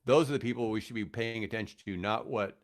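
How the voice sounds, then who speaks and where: A man's voice: fairly low pitch, fast. A man chatting casually and giving his opinions on a podcast, emphatic and often repeating his phrases as he goes. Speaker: podcast guest, comedian.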